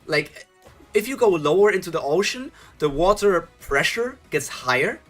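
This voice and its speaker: A man's voice: sits high, expressive, moderate pace, fairly bright tone, soft background music. A young man from Germany with a German accent, chatting loosely as he reacts to a webtoon live and answers his chat. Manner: chatty, thinks aloud, restarts and chuckles, answers chat. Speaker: streamer reading webtoons live.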